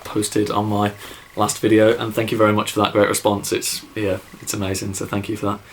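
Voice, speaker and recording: Rambling young English guy, lowish voice. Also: monotone, fairly quick, a little hiss or hum. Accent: English Midlands. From England, near Birmingham. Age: young adult. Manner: rambling, lots of fillers and "like", says so himself. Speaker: YouTube musician answering viewer questions. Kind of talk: casual vlog Q&A.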